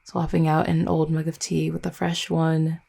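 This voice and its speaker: A woman's voice: sits low, monotone, moderate pace, gravelly, dark tone, full character voice. A woman playing an affectionate, teasing vampire girlfriend in an intimate roleplay audio, speaking in a tender, conversational way. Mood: doting.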